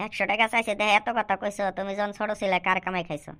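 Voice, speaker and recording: Demanding cartoon nephew of school age, voiced by a woman: smooth clean tone, rapid argumentative rhymes. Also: mid-range voice, fairly even intonation, fairly bright tone, close to an everyday voice. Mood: defiant. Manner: cheeky, argumentative, rhyming comebacks. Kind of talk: rhyming comic cartoon dialogue.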